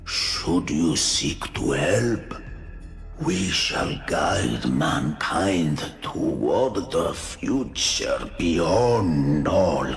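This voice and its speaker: An ominous, grandiose otherworldly man in a video game. The voice is extremely low and thick with gravel, swinging theatrically in pitch as it delivers slow, solemn menace over music. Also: heavily acted. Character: ominous, grandiose otherworldly male cult leader above humanity.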